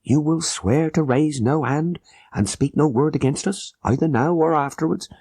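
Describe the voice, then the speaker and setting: Man acting a grave conspiring Sikh guard, urgent pitch. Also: moderate pace, warm tone, breathy edge, no room sound, clean studio sound. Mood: solemn persuasion. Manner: solemn, formal, urgent.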